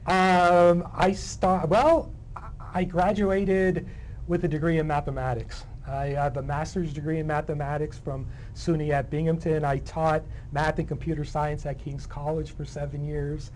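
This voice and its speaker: A man's voice: on the high side, flat delivery, unhurried, a little mellow. Understated middle-aged man, noisy room. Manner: understated, modest. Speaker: interview guest, playwright. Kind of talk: casual interview chat.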